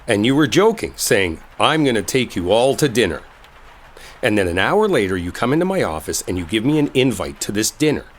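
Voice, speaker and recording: A man's voice: lowish voice, very animated, fairly quick, a little hiss or hum. A man playing an exasperated, defensive coworker who is secretly smitten, in an emotional roleplay audio drama. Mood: defensive, annoyed. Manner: emotional, pleading, emphatic, conversational. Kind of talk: roleplay audio drama, intimate one-sided dialogue.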